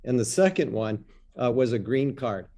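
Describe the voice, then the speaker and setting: A middle-aged or older man with a Canadian accent, telling his personal story on a panel in a measured way. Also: mid-range voice, lively intonation, warm tone.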